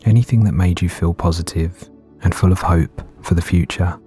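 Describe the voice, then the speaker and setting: A man gently guiding a meditation in a soothing, unhurried way with long pauses between phrases. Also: low voice, steady tone. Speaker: meditation guide. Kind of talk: guided meditation narration. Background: background music.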